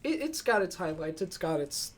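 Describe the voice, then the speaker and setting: A man's voice: high-pitched, sing-song, normal speed. A man talking informally about movies as a podcast co-host. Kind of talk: casual movie chat. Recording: noisy amateur room recording.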